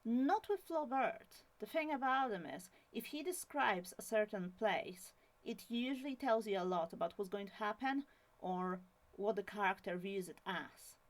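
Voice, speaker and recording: A woman's voice: mid-range voice, expressive, moderate pace. A young woman from Poland with a Polish accent, talking casually about a classic novel on her own channel, with many fillers and restarts. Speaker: channel host. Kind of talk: casual book talk to camera.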